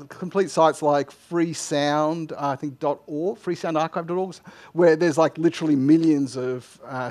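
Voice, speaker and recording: A middle-aged man answering technical questions informally as a conference speaker, in a halting way with frequent false starts. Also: fairly high pitch, a little mellow. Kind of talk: informal technical Q&A after a talk. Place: indoor lecture room, at a lectern. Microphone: headset microphone.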